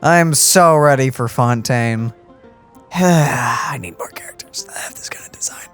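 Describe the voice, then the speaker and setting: A woman co-hosting a let's play, reacting to the game with quick, playful remarks. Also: rumbling low, soft background music. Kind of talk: casual gameplay commentary.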